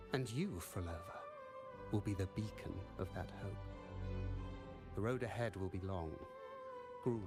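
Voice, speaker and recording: An actor playing a grandiose, persuasive mastermind in a game, with a deliberate, oratorical delivery. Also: male voice, lowish voice. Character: grandiose, persuasive male mastermind urging someone onward. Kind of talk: dramatic game voice acting. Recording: voice under prominent string music. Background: violin music.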